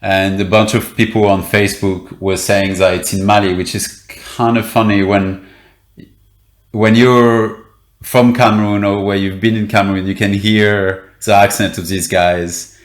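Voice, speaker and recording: A very deep-voiced man talks as a reporter guest in an interview. He speaks haltingly and often stops to restart a sentence. His voice has an echo, as if he is in a bare room. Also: level tone. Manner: hesitant, many fillers and restarts. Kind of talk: interview conversation.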